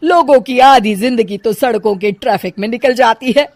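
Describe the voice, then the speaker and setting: A woman's voice: on the high side, no echo, studio quality. A woman voicing a middle-aged, dramatic village-bred mother-in-law in a family story cartoon, complaining and exclaiming as she goes. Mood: weary complaining. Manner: complaining, exclamatory, drawn-out delivery.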